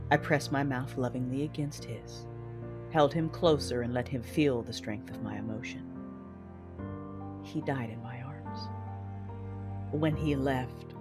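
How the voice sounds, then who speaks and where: An actress playing a vengeful, anguished Sith warrior, narrating her own tale in an intense, brooding, theatrical manner for an audio drama. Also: very deep voice. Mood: grieving. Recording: loud music behind the voice. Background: loud dramatic music.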